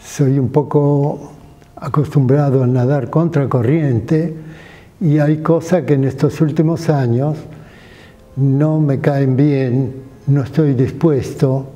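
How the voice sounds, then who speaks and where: Slow, dark-voiced older Argentine sculptor. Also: male voice, medium pitch, steady tone. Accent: Argentine Spanish. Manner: slow, pausing, with hesitation fillers. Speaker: sculptor, interviewee. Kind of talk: reflective interview answers. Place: studio indoors with sculptures.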